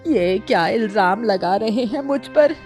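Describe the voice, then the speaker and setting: A woman's voice: mid-range voice, lively intonation, unhurried, a little mellow, soft background music, natural voice. A woman playing a sly, thieving old woman in a cartoon story, with a wheedling, complaining manner. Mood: wailing, indignant. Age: older.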